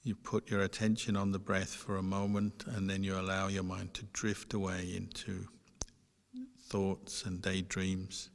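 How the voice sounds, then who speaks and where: A man teaching meditation, giving guided instruction in a measured way with pauses between short phrases. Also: low-pitched, completely flat delivery, gravelly. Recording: long reverberant hall sound.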